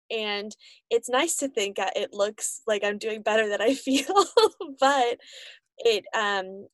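A woman's voice: medium pitch, no echo. A woman hosting an interview show who asks reflective questions, talking casually with many "um"s and "like"s. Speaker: podcast host, interviewer.

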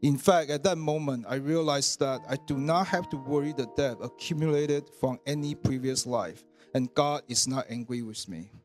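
A man, reading his personal testimony at church in an earnest, measured way. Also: medium pitch. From Taiwan. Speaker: church member giving testimony.

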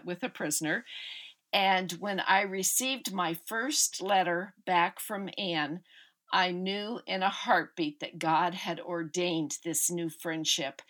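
Woman hosting a faith podcast. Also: lowish voice. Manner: warm, gushing, with frequent fillers. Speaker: podcast host. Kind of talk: podcast interview.

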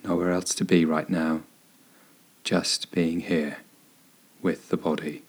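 Male meditation guide: deep, breathy-husky tone, gentle and soothing, unhurried delivery with room to pause. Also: little pitch movement. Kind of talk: guided body scan meditation narration.

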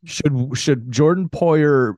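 A man's voice: fairly high pitch, takes it slowly, a little mellow, no room sound. A man co-hosting a sports podcast, talking casually in an opinionated, slangy way.

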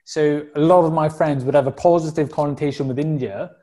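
A man's voice: on the high side, fairly even intonation, a little fast, dark tone. A man in his thirties from South Wales with a Welsh accent, a guest talking openly and reflectively in a casual interview, with frequent fillers and restarts. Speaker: podcast guest.

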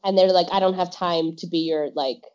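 A woman's voice: lowish voice, steady tone, a little fast, a little mellow. Chatty woman laughing between remarks. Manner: chatty, laughs often, trails off. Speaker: guest; English teacher. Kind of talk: casual podcast chat. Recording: dull lo-fi audio.

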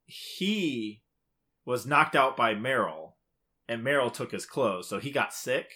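A man's voice: medium pitch, expressive, takes it slowly, sharp tone. A man co-hosting a podcast, casually recapping a game's story in emphatic, short clipped phrases. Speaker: podcast co-host. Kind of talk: casual video game plot recap.

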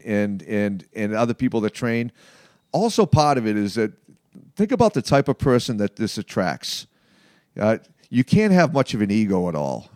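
Guy late 50s chatting in an interview, halting but emphatic, really dry sound, normal pitch. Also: darkish tone, no room sound. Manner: halting, emphatic, often checks with "okay". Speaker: martial arts practitioner being interviewed. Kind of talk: conversational interview answers.

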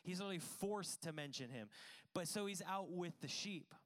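High, smooth-voiced man preaching casually. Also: moderate pace, fairly bright tone. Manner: casual, joking, self-deprecating. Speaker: pastor. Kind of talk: conversational sermon. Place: church service with congregation.

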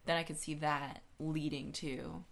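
A woman's voice: sits low, steady tone, unhurried, vocal fry. A woman co-hosting a podcast in a casual, science-minded discussion, reasoning aloud with questions and checking for agreement. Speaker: podcast co-host.

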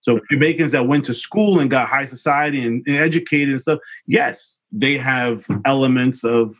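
A husky-voiced man of Cape Verdean background, whose first language was Creole, speaks with animated, emphatic conviction, dropping fillers as he goes. His pitch sits in the middle range, and the recording sounds dull. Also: moderate pace. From Cape Verdean, raised in the United States. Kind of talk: casual conversation.

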